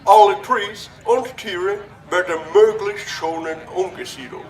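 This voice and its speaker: Older American businessman, high-pitched, unhurried showman, friendly public speech, children's audio play. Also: recorded from a distance, poor audio. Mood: smooth, grandiose. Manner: slow showman delivery mixing English words into German. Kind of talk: children's audio drama acting.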